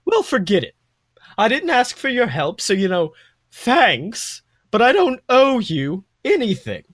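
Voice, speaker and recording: Flamboyant, indignant male character played by a man reader, very high pitch, theatrical swings, sarcastic, for a story reading. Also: huge pitch swings, a little slow, a bit bright, no room sound, studio quality, exaggerated voice. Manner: exaggerated, dramatic, sarcastic delivery. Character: flamboyant, prickly, melodramatic man, indignant and suspicious of kindness. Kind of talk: fan fiction read aloud with character voices.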